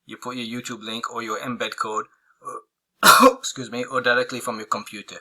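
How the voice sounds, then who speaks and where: A man walking viewers through a software tutorial, hoarse and losing his voice, rambling with many fillers and restarts. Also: mid-range voice, little pitch movement, normal speed. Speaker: online marketing tutor. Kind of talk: casual screen-recorded tutorial.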